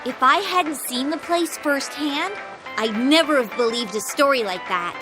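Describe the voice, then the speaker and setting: Game schoolgirl: very high American voice, excitable and feisty, emphatic casual delivery, music and crowd behind. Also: unhurried, poor audio. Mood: skeptical. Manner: emphatic, expressive, informal. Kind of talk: English video game voice acting.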